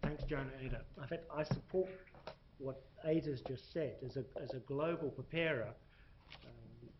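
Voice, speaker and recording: A man's voice: medium pitch, normal speed, creaky. A man from South Africa makes his case on a panel, emphatic and full of restarts. The recording is muffled and lo-fi, with a faint hiss underneath. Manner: emphatic, restarts, hesitant fillers. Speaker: panel speaker, corporate reporting. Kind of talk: panel discussion.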